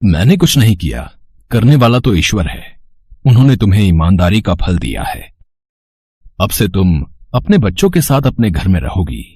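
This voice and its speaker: An actor playing a wealthy, generous gentleman in a moral story cartoon, measured, dignified and reassuring. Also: low voice, sing-song, no room sound, clean studio sound, full character voice. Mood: kindly, reassuring.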